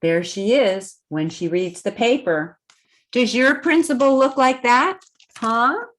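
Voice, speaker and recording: A woman hosting a children's storytime, reading a picture book aloud expressively and pausing to put questions to young listeners. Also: on the high side. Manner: expressive, deliberate, simple phrasing with questions to children.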